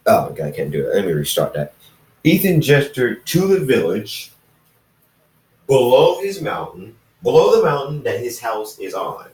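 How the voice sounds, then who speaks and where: Halting man reading aloud in an echoey room. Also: mid-range voice, sing-song, darkish tone. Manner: halting, stumbles and re-reads lines. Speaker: fan fiction reader. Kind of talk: amateur story reading with character dialogue. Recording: roomy echo, distant microphone.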